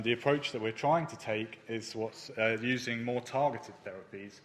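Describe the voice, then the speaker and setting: A man presenting his research at a conference, speaking in a measured way with frequent ums and uhs. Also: mid-range voice, a bit bright, slight background noise. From not Germany. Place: conference hall.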